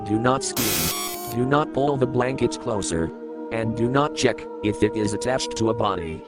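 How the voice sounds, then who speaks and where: A man's voice: on the low side, animated, normal speed, lightly acted. Man as ominous horror rule-reciter, music-heavy. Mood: ominous, instructing. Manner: terse, instructional. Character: ominous narrator reciting horror survival rules. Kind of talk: scripted horror narration. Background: loud background music.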